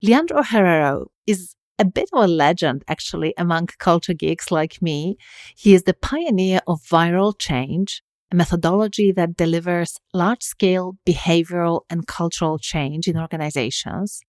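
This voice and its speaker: A woman with a fairly low voice hosts a podcast, recorded close and dry with no room sound. She is articulate and enthusiastic, reading a polished introduction and then asking her guest questions with open curiosity. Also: normal speed, a little mellow, no echo. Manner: enthusiastic, articulate, laughs easily. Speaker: podcast host.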